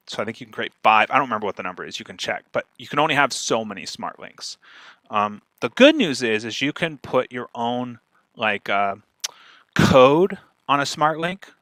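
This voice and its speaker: A man's voice: mid-range voice, pushed and bright, no echo. A man presenting informally online as a guest speaker, explaining in an emphatic, conversational way. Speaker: guest speaker giving a marketing talk.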